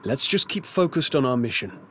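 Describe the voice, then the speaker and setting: A man's voice: medium pitch, sing-song, a little fast, fairly bright tone, breathy edge. A man playing a direct, plain-spoken warrior companion in a video game, informal and concerned for others. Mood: focused. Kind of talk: video game cutscene acting.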